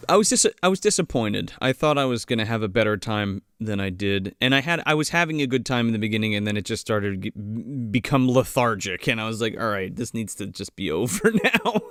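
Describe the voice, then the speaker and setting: A man's voice: fairly low pitch, big pitch swings, brisk, hardly any pauses, a bit bright, clear, resonant tone, dry recording, studio quality. A man co-hosting a podcast, chatting emphatically about a movie and swearing. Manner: emphatic, profane, laughs often. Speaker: podcast co-host. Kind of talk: casual movie review chat.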